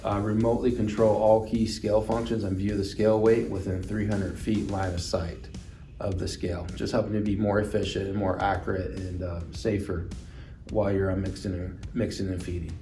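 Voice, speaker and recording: Man, product demonstrator, mellow tone, plain step-by-step explanations, distant mic, music underneath. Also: lowish voice, level tone, fairly quick, echoey room.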